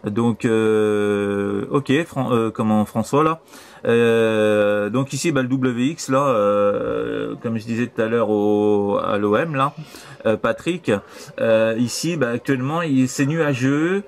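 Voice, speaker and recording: A man's voice: mid-range voice, slow pace, creaky. A man from France with a French accent, chatting casually and repetitively as an amateur radio operator over a transceiver. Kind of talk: casual radio contact chat. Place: voice over CB shortwave radio link.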